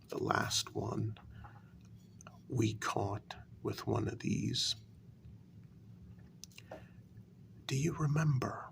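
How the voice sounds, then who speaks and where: A man performs a wounded, bitter male vampire in an intimate ASMR role-play. His voice is lowish and very creaky, slipping into hushed half-whispers as he speaks with deliberate weight. A faint noise sits behind the voice. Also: exaggerated voice. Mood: bitter recollection. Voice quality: gravelly. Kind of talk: soft-spoken ASMR role-play, dramatic monologue.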